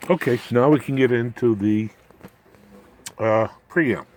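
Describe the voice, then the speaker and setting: A man's voice: medium pitch, unhurried, a little mellow, slight background noise. A man explaining electronics informally as a hobbyist presenter, in a folksy, rambling, slangy manner.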